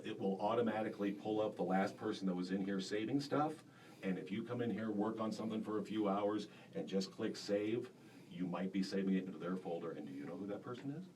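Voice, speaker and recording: A deep-voiced man teaching a class in a school radio studio, explaining casually with plenty of fillers. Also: a little mellow. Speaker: broadcasting instructor. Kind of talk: classroom demonstration. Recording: distant mic with faint room hiss.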